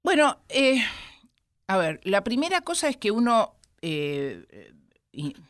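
An Argentine woman historian with an Argentine accent, a guest in an interview who explains at length with many pauses. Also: mid-range voice, sing-song, no echo, clean studio sound. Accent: Argentine Spanish. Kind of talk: studio interview.

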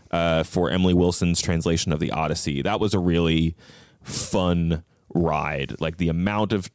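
A man's voice: low-pitched, darkish tone, clear, resonant tone, dry recording, clean studio sound. A man talking casually and thoughtfully as a podcast guest, explaining his points in a conversational way. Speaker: podcast host appearing as a guest. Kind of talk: casual conversational book discussion.

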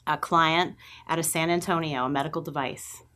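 A middle-aged woman with a Long Island New York accent, a podcast guest who tells lively anecdotes and acts out remembered dialogue in a studio interview. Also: deep voice, normal speed. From New York, USA. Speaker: guest, agency president. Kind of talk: conversational storytelling interview.

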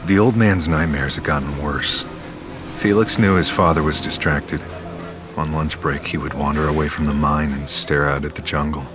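An actor playing a grave storyteller, giving measured, scripted narration of a mythic audio drama. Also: male voice, a bass voice, steady tone. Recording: music behind the narration.

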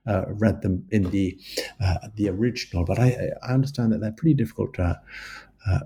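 A man answering interview questions about his book in a measured, halting way, with frequent restarts. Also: lowish voice, warm tone, husky. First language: English. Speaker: author guest.

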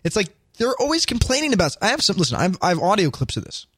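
Quick, excitable man co-host. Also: high voice, husky, no echo, studio quality. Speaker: podcast co-host. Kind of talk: casual chat.

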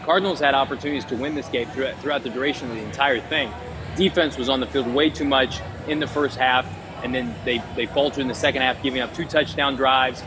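A man reporting from a stadium tunnel after the game, giving a brisk, measured recap of the postgame interviews. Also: mid-range voice, steady tone, a crowd in the background. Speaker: field reporter.

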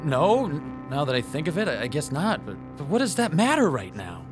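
High-voiced, timid, nervous young guy. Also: very animated, fairly quick. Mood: nervous, confused. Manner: stammering, nervous. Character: timid bullied young man, anxious, pleading. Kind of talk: horror radio drama acting. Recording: music bed under the voice.

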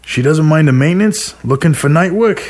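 A man's voice: fairly high pitch, expressive, fairly quick, a little mellow, pitched above the performer's own voice, lightly acted. A man voicing a cocky young pool-playing buddy in an audio short story, slangy and needling. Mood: teasing.

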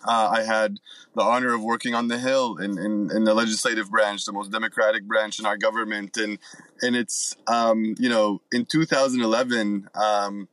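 American man speaking earnestly and haltingly. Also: fairly low pitch, steady tone, a bit bright, no echo, poor audio. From United States, moved from Syria very young. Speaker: guest, nonprofit advocate. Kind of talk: earnest interview talk about advocacy work.

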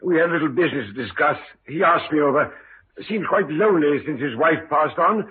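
Lively, courteous middle-aged businessman. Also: fairly high pitch, slightly rough, narrow, tinny audio. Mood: puzzled. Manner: courteous, hesitant, halting. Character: polite, wary middle-aged male small-town businessman. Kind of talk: 1950s radio drama acting. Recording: thin, faintly noisy old radio recording.

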